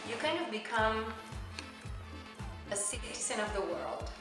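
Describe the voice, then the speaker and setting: A woman's voice: fairly high pitch, expressive, measured pace. Argentine-accented woman in a very echoey room. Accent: Argentine Spanish. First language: Spanish. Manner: chatty, loose, sprinkles "you know". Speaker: immigrant interviewee. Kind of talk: casual oral history interview. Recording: very echoey room with music behind.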